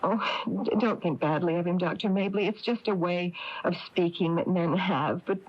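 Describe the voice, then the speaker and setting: An actress playing an anxious, fretful small-town married woman in a 1980s radio drama, with halting, flustered delivery. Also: fairly low pitch, lightly acted. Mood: flustered, confiding. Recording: dull lo-fi AM radio tape.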